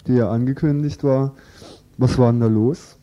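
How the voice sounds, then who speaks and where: A man hosting an unscripted radio interview, talking informally and a little haltingly as he presents and asks questions. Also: mid-range voice, fairly even intonation, muffled recording.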